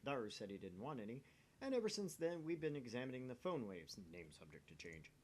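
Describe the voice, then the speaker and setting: A man with a very animated delivery and middling pitch hosts a gaming read-along. He moves between narration and casual banter. There is some background noise on the recording. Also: a little fast. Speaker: gaming video host reading a visual novel aloud.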